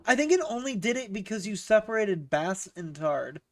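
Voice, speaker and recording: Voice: mid-range voice, expressive, moderate pace, recorded from a distance, a little hiss or hum. Casting note: sniffly, cold-stricken game streamer, crude and playful with chat, mid-range voice, recorded a little off-mic. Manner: profane, joking, laughs often, reads chat aloud. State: getting over a cold, sniffly. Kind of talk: casual live game-stream chat with viewers.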